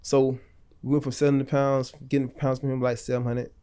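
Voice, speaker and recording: A man's voice: mid-range voice, little pitch movement, brisk, dark tone, clean tone. Laid-back man telling street stories. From North Florida. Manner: loose, slangy and rambling, with frequent restarts. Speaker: podcast guest. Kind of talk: casual storytelling interview.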